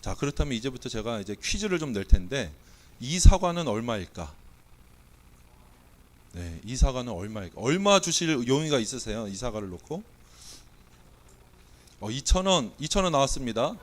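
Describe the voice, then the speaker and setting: A man's voice: medium pitch, sing-song, normal speed, pauses often. A Korean man, a pastor, preaching a sermon with a weak voice from a cold, playfully quizzing the congregation and running a mock auction. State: has a cold, voice not coming out well. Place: church sanctuary.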